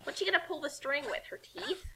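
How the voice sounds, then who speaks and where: A woman reading a story aloud as narrator, in an animated, informal style with casual asides. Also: sits high, a little fast, a little hiss or hum. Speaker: narrator reading a fan fiction.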